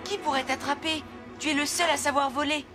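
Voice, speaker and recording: A woman's voice: high-pitched, fairly even intonation, brisk, close to an everyday voice. A woman voicing a clever, kind young boy hero in a cartoon, earnest and persuasive, with music playing. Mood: coaxing. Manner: clear, earnest, coaxing. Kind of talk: animated cartoon acting. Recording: loud music under the voice.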